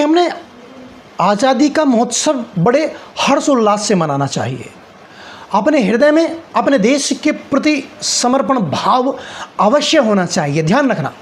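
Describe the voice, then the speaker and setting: A man with a distinctly high voice speaks directly to his listeners in a patriotic address. He is earnest and exhorting, pausing deliberately and repeating phrases for emphasis.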